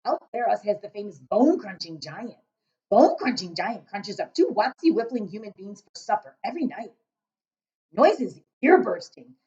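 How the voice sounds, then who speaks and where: Storybook giant, played by a woman: huge, boastful male, loud and booming, raised lively pitch, muffled recording. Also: normal speed, natural voice. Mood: gleeful, boastful. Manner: emphatic, shouting, mangled grammar and invented words. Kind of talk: children's storybook read-aloud.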